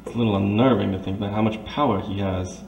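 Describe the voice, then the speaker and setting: A grave, discreet companion in a detective radio play. He speaks formally in a lowish voice. The room echoes, with faint noise. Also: fairly even intonation, fast, vocal fry, a little mellow. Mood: concerned. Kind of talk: old-time radio detective drama acting.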